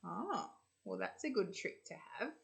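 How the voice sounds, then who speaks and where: An Australian woman reading a storybook to children, expressive and deliberate in the manner of a school storyteller. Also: medium pitch, vocal fry, slight background noise.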